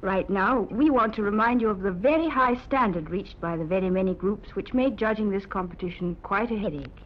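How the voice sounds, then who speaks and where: A woman's voice: medium pitch, brisk, highs cut off, slight background noise. A young woman presenting a scripted 1950s youth radio show, on an old broadcast recording. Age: young adult.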